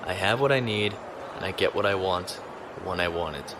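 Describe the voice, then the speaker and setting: A man playing a low-voiced, calm space lieutenant. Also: moderate pace, background noise, lightly acted. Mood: conversational. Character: calm male space navy lieutenant, courteous, dry. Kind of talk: audio drama acting with log narration.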